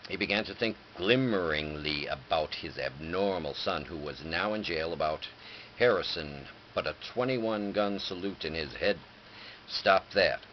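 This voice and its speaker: Deep-voiced man reading, muffled noisy recording. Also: unhurried. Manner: occasional stumbles and self-corrections. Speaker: reader. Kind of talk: scripted story narration. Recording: dull lo-fi recording with clear background noise.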